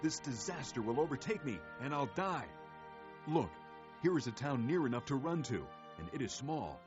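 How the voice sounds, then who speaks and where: A man's voice: medium pitch, sing-song, moderate pace, highs cut off, music in the background, lightly acted. A man playing an anxious, fretful refugee beseeching for his life in a Bible audio drama. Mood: fearful pleading.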